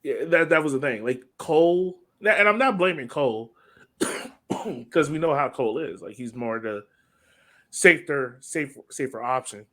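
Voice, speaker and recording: A man's voice: mid-range voice, lively intonation, moderate pace, pushed and bright. Casual male soccer podcast co-host. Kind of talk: casual sports analysis chat.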